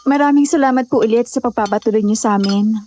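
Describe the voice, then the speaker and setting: A woman's voice: fairly high pitch, fast, dark tone, a little hiss or hum, lightly acted. A woman playing a polite, cautious young climber in horror audio drama dialogue. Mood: grateful, cautious.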